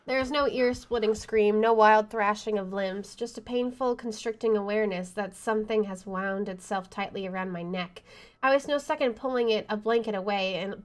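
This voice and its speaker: A woman reading a horror visual novel aloud as she plays, in dramatic first-person narration. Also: mid-range voice. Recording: faint game music behind the voice. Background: faint game music.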